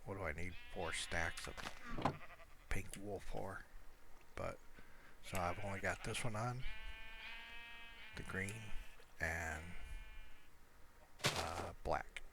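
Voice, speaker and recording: A man giving casual, unscripted gameplay commentary in a low-key, rambling, hesitant manner, with trailing pauses. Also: very noisy. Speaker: gamer narrating own gameplay video.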